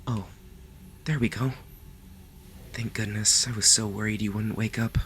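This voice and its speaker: Guy doing a gentle obsessed yandere kidnapper, asmr roleplay, low soft voice, bit of noise in the background. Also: fairly even intonation. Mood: worried, relieved. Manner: soft, gentle, halting, sometimes dropping to a whisper. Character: lovestruck male yandere kidnapper, doting, possessive, awkwardly reassuring. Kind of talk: ASMR roleplay, intimate monologue to the listener.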